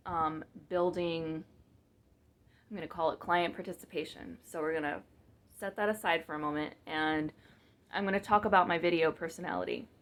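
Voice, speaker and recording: Woman vlogger, low-ish monotone voice, meandering self-deprecating talk to camera. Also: moderate pace. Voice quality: monotone, flat delivery. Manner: rambling, many fillers and restarts, self-mocking. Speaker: vlogger and business coach. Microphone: camera mic, speaking to the lens.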